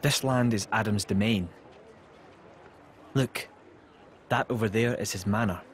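A man's voice: on the low side, measured pace, a little hiss or hum, close to an everyday voice. Composed, thoughtful warrior man, played by an actor. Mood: calm. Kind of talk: video game cutscene acting.

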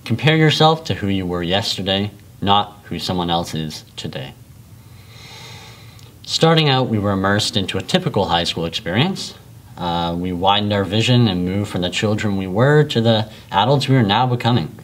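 A young man speaks with a somewhat low voice, giving a prepared valedictorian address. His delivery is earnest and measured, recorded in a school library. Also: lively intonation. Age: young adult. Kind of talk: prepared graduation speech.

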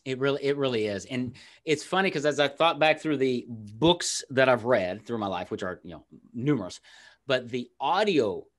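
A man's voice: medium pitch, expressive. A middle-aged man hosting a video-call interview, talking with enthusiasm and telling personal stories. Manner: enthusiastic, quick affirmations, storytelling. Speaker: host.

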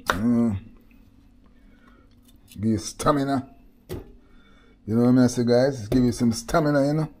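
A man's voice: mid-range voice, unhurried, long pauses, a little hiss or hum. Laid-back Jamaican man in his kitchen. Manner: laid-back, drifting into Patois, chuckling asides. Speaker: cooking vlogger. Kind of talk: casual cooking chat with storytelling. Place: home kitchen, indoors. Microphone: head-mounted camera, hands-on cooking.

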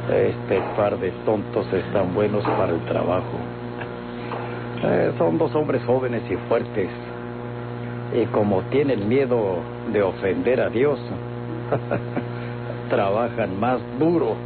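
Curt Mexican hacienda boss. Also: male voice, lowish voice, slow, creaky, long pauses. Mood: curt, condescending. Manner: curt, commanding. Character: authoritative, condescending hacienda boss, a villain. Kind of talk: old Mexican radio drama acting. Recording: tinny old radio recording, loud music.

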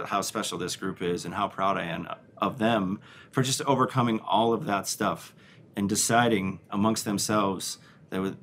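A middle-aged man with a very low voice answers press questions as head coach. He talks frankly, with many restarts and "you know"s, into a table mic in a press room, with a faint rumble behind him. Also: fairly bright tone. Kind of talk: postgame press conference answers. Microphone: table mic on a stand.

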